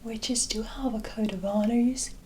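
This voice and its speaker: A woman playing a sly, mysterious witch in a whispered, breathy ASMR fantasy roleplay, teasing and deliberate as she addresses the listener. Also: on the high side, fairly even intonation, darkish tone, slight background noise, lightly acted. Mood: sly, bargaining. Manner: slow, deliberate, coy, theatrical, addressing the listener as "my dear".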